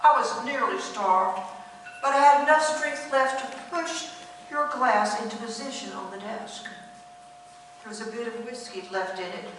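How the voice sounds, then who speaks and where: A woman's voice: on the high side, bright, forceful tone. A woman voicing a hungry, neglected talking cat in a radio play, coolly accusing and sly, with dry, pointed delivery. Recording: echoey hall from far off, faint hum.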